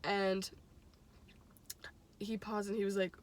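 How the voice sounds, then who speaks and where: Young woman, medium pitch, casual chatty storyteller, animated and giggly, quotes conversations. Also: takes it slowly. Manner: animated, giggly, quotes dialogue as she goes. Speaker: teacher and music fan telling a personal anecdote.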